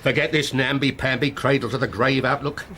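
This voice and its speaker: An actor playing a pompous, scheming former police detective with a British accent and a grandiose, imperious delivery in a radio drama. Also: male voice, medium pitch, steady tone, a little fast, fairly bright tone, slight background noise, lightly acted. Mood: wheedling, pompous. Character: pompous scheming ex-police detective turned private art investigator.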